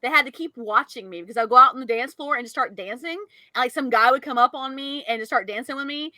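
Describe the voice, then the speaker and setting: A woman co-hosting a podcast, telling a story in an animated way and laughing often. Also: high-pitched, fast, no echo. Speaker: podcast co-host. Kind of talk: casual storytelling chat.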